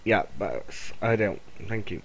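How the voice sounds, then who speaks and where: A man's voice: lowish voice, expressive, unhurried, slight background noise, rough recording. A man with a Cornish accent chatting casually with a friend while playing a game, laughing often and teasing. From Cornwall. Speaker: game player. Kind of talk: casual banter while gaming.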